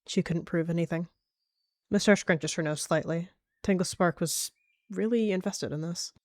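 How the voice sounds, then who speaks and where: A woman's voice: lowish voice, normal speed, no room sound, studio quality. A woman telling a dramatic story as a third-person narrator.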